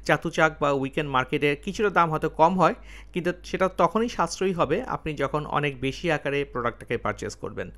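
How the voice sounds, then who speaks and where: A man working as a travel vlogger, talking casually to viewers in a halting way, thinking aloud as he explains. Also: fairly high pitch, lively intonation, fairly bright tone.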